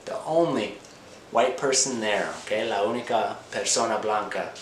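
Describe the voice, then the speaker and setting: A man's voice: on the low side, normal speed. An American man teaching language learners, repeating simple phrases, often checking with "okay?" and sometimes switching between English and Spanish. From Indiana, United States. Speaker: language teacher, channel host. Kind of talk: slow comprehensible-input lesson for learners. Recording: echoey room with noticeable background noise.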